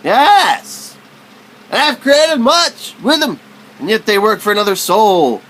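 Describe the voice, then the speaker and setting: A man giving a literary spoken-word recitation in a theatrical, halting, wordy way, stumbling and repeating himself. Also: high voice, expressive, fairly bright tone.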